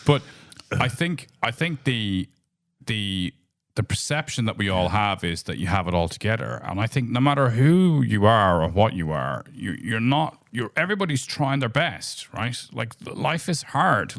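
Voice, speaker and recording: A man's voice: mid-range voice, sing-song, moderate pace, a bit bright, no echo, studio quality. A man with an Irish accent co-hosting a podcast, talking in a reflective, thoughtful way with frequent restarts. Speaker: podcast co-host. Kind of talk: casual podcast chat.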